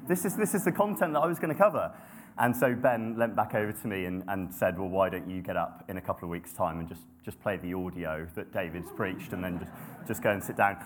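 Young male preacher, lowish voice; relaxed, humble sermon delivery with filler words and restarts. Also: fairly quick, a little hiss or hum. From grew up in Nepal and the UK. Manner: conversational, self-deprecating, with frequent ums and restarts.

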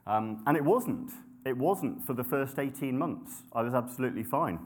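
A man's voice: medium pitch, moderate pace. A young adult man giving a sermon in a conversational, self-deprecating way, with frequent ums and restarts. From grew up in Nepal and the UK. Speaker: preacher.

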